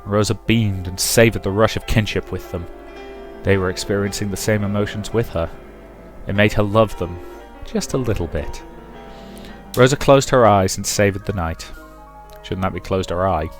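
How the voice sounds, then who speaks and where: Low-voiced man reading a visual novel. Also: moderate pace, soft background music. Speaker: let's player and narrator. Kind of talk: reading a visual novel aloud with casual commentary.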